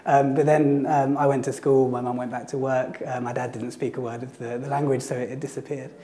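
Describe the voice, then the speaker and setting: A man with a slightly gravelly, hoarse voice, as if still getting over a virus. His tone is mellow and dark, and he speaks at a middling pitch. He answers in a measured, hesitant way, pausing often to collect his thoughts. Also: flat delivery. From England. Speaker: guest, historian and blog co-editor. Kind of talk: casual interview answers. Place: temporary studio in an office building.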